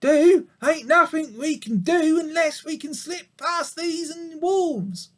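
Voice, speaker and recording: A man's voice: extremely high pitch, slow, a bit bright, voice pushed up, heavily acted. A man voicing an old, motherly country sheep in a storybook, puffing and speaking in a folksy rural way. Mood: worried. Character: old motherly country ewe, fretful and kind.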